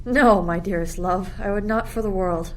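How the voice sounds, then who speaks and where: A woman's voice: mid-range voice, moderate pace, close to an everyday voice. A woman playing a devoted young royal lover in a Shakespeare radio play, speaking earnestly and formally. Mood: tender. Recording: noisy recording with ambient sound texture.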